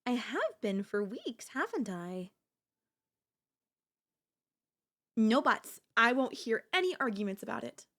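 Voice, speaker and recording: A high-pitched, sweetly possessive yandere girlfriend, acted by a woman for an ASMR roleplay. She is theatrical and insistent, coaxing her beloved with flowery endearments and elegant teasing. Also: big pitch swings, moderate pace, a bit bright. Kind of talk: ASMR audio roleplay acting.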